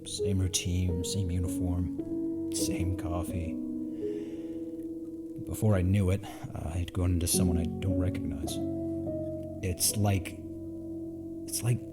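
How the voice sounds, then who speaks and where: A man's voice: low-pitched, flat delivery, a little slow, long pauses. A man acting a weary, self-doubting spaceship crewman in an audio drama, downbeat and unsure in manner. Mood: downcast, reflective. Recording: loud guitar music underneath.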